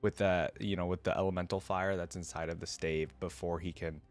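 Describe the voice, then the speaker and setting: Low-voiced man giving casual reaction commentary. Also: little pitch movement, fairly quick, faint music behind. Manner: conversational, thinking aloud, asking viewers questions. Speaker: host of a reaction show. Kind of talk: casual reaction commentary, reading wiki pages aloud.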